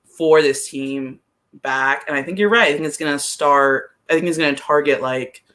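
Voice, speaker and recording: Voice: medium pitch, moderate pace, fairly bright tone. A person chatting casually about soccer as a podcast analyst, thinking aloud and leaning often on the word "like". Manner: hesitant, thinking aloud, frequent use of "like". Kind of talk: casual chat, soccer commentary.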